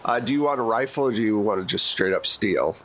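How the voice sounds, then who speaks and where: A man narrating as game master in a tabletop role-playing session, explaining scenes in a relaxed, laid-back way. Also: fairly low pitch, quick pace, poor audio.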